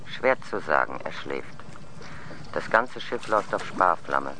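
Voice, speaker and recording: An actor in a radio drama playing a matter-of-fact, tactful space mission colleague giving a sober briefing. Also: male voice, low voice, very animated, unhurried, bright, forceful tone, faint music behind, heavily acted. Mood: sober, guarded.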